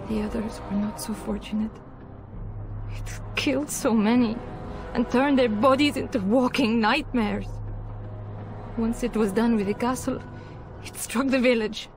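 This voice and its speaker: An actress playing an anxious noblewoman and mother in a fantasy game, speaking in a halting, formal, imploring way. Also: on the high side, lightly acted. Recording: music behind the voice.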